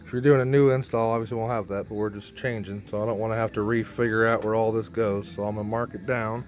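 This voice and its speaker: A man's voice: on the low side, steady tone, quick pace, slight background noise. A man narrating a hands-on gear vlog in a casual, informal way, thinking aloud as he works. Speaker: band member showing a PA rack rebuild.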